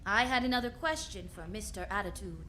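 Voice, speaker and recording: A woman's voice: medium pitch, expressive, a little fast, bright, forceful tone, a little hiss or hum. A woman playing a wisecracking, confident detective who tells her case in sassy slang, in old-time radio drama style. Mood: irked, sassy. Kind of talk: 1940s radio drama acting.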